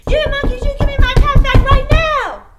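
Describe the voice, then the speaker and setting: A woman voicing an exasperated, stubborn male cap peddler in a children's storybook, with loud, emphatic demands. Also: sits high, moderate pace, loud music behind the voice, lightly acted. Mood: furious. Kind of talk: children's storybook read-aloud. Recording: echoey room with background noise.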